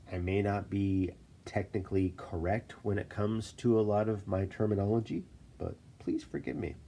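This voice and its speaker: A man with a stuffy voice from a cold, casually explaining stone setting in a relaxed, self-deprecating way at his workshop desk. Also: low-pitched, slight background noise. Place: workshop desk, filmed from above.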